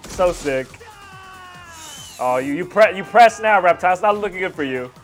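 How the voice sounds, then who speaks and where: A man's voice: sits high, animated, normal speed. A man hosting a reaction video, commenting on fight scenes in casual slang with hyped exclamations. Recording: music behind the voice.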